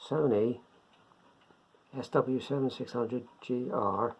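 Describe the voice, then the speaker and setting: Older man with a gravelly, creaky voice. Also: mid-range voice, fairly even intonation. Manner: halting, unscripted, thinking aloud. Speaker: presenter of a beginners' radio hobby video. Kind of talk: casual product demonstration. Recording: dull lo-fi sound, faint background noise.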